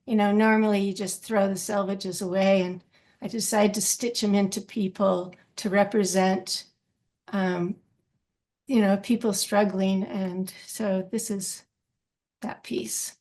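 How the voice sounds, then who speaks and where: A woman speaks slowly at a middling pitch. She presents her textile piece in a halting way, with restarts and ums as she explains it. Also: little pitch movement, darkish tone. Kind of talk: slide presentation of her art.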